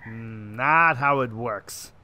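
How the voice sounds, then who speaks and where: A man's voice: medium pitch, slow, pushed and bright. A man playing a tough-talking, wry 1940s private detective in a noir radio drama, with clipped slangy wisecracks. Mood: suspicious, pressing. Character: gruff hard-boiled 1940s private detective, wry and threatening. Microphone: close desk microphone with headset.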